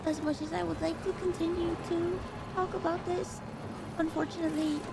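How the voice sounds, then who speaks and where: A woman YouTuber reads a prepared statement in a halting, distressed way. Her voice is high, and heavy noise runs under the recording. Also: level tone, vocal fry.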